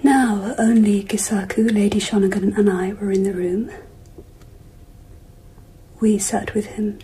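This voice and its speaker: An actress playing a dignified, reflective empress in a radio drama, telling her memories in a measured, hushed, breathy voice. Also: medium pitch, steady tone. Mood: reflective, composed. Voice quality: very breathy, often near whisper. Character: dignified, reflective empress recalling mysterious events.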